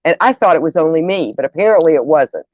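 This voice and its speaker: Female livestream host, middle-aged, lower register, conversational and reflective, slightly muffled lo-fi sound. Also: expressive, fast talker, a little mellow, no room sound. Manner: conversational, musing, addresses listeners directly. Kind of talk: casual livestream chat, reading out viewer comments. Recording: dull, dry lo-fi audio.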